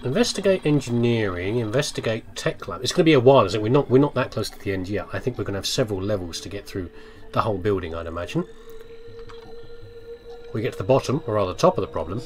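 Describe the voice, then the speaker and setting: A man's voice: lowish voice, lively intonation, quick pace, slight background noise. A man hosting a gaming video with casual, jokey commentary, often talking to himself. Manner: jokey, chatty, talks to himself. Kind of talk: casual let's play commentary.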